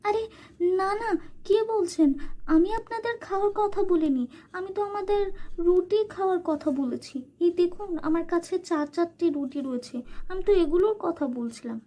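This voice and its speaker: A woman's voice: very high voice, normal speed, dark tone, pitched above the performer's own voice. A woman voicing an innocent, caring young village girl in a cartoon, speaking in an earnest, childlike, pleading way. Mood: startled, explaining.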